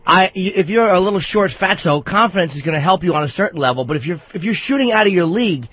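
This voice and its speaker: A man with a high voice speaks bluntly on talk radio. He is casual and matter-of-fact, heard through slightly dull broadcast sound. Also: animated, quick pace.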